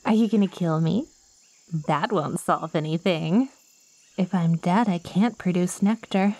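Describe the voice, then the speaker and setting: A woman's voice: fairly low pitch, measured pace, dark tone, no echo. A woman playing a lonely, possessive plant-girl monster in an ASMR roleplay, coaxing and teasing the listener in a theatrical way. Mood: smugly menacing. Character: lonely, possessive yandere plant girl, ancient yet girlish.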